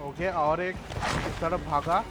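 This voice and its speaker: A man's voice: fairly high pitch, steady tone, very fast, loud music behind the voice. A man with an Indian accent giving casual gameplay commentary. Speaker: gaming YouTuber. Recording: loud game sound behind commentary.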